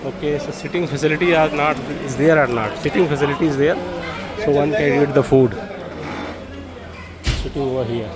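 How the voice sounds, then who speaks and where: Amid clear crowd bustle and vehicle noise, a man with an Indian accent talks in a slightly high voice. He gives an informal walking tour as a vlogger, explaining simply and repeating himself with hesitations. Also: moderate pace, long pauses. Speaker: travel vlogger. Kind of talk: informal walk-through guide commentary. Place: public railway station, on the move.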